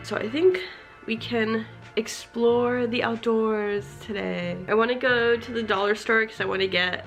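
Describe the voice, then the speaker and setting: A woman's voice: medium pitch, gravelly, fairly bright tone. A young woman in a casual vlog, chatting in a rambling way while sounding congested from a cold. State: congested, sick voice. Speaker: vlogger.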